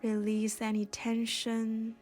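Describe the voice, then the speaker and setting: Slow, even-toned woman guiding yoga over music. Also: fairly high pitch. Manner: soothing, gentle, unhurried guiding with pauses. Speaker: yoga instructor. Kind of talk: guided yoga and meditation instruction.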